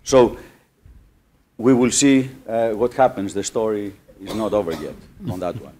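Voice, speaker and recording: A man from Greece making an audience comment at a conference, halting with many uhs and restarts. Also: fairly low pitch, animated, mellow, slight background noise. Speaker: audience member.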